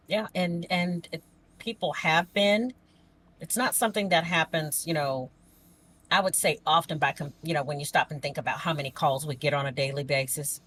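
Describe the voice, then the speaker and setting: A woman with a voice a little lower than most chats as a podcast co-host. She speaks like an emergency dispatcher swapping work stories, folksy and emphatic. She often repeats a phrase to drive it home. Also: fairly bright tone, a little hiss or hum. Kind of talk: casual podcast conversation.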